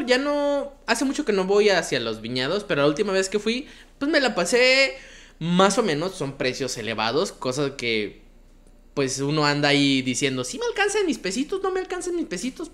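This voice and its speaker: Animated Baja California man, lively. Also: sits high. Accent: northern Mexican (Baja California). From Ensenada, Baja California. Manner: animated, joking, slangy. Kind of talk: casual chat among friends.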